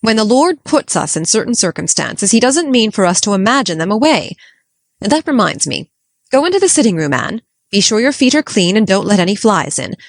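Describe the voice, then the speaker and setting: A woman's voice: mid-range voice, very animated, fairly quick, dry recording, studio quality. A woman voicing a strict, no-nonsense middle-aged spinster, clipped and disapproving. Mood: stern. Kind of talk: audiobook character voice.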